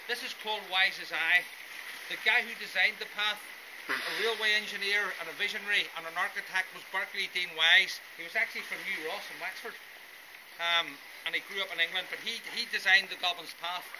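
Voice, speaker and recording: A man giving a guided tour talk outdoors on a coastal path, picked up by a camera in the group. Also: high-pitched. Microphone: camera among the group. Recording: very noisy outdoor camera recording.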